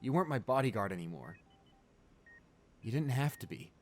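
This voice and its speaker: A man performs a rich, devoted client keeping vigil beside his comatose lover in an ASMR roleplay. His mid-range voice is intimate and emotional, pleading in halting phrases. Background noise sits under the words. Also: mid-range voice, lively intonation, lightly acted. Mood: wistful, tender. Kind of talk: ASMR boyfriend roleplay, dramatic monologue.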